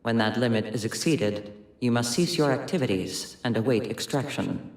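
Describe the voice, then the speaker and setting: An actor playing a detached, officious overseer giving a formal, matter-of-fact briefing in a video game. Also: male voice, mid-range voice, normal speed, natural voice. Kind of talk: cinematic video game acting. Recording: echoey, reverberant voice.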